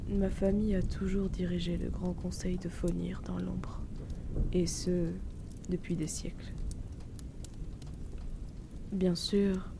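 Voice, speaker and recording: A woman playing a melancholic young noblewoman haunted by her past in an audio drama, speaking in a hesitant, hushed, wistful way. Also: on the low side, background noise. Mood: grave, confiding. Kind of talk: slow introspective audio drama monologue. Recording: steady loud rainfall behind the voice. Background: rain.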